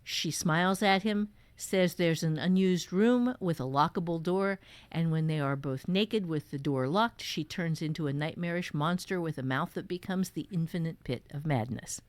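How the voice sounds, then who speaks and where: A woman's voice: low-pitched, normal speed, no echo. A middle-aged woman co-hosting a writing workshop, chatting casually and talking through story ideas as an author and teacher.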